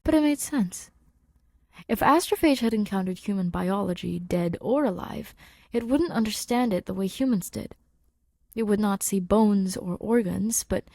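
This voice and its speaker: A woman's voice: mid-range voice, a little mellow, dry recording, clean studio sound. A woman narrating a scripted story as a podfic reader, in a conversational, wry way.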